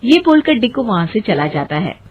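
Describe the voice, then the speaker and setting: A woman's voice: a little high, big pitch swings, moderate pace, husky, phone-quality sound. A woman narrating a scripted cartoon story as its storyteller.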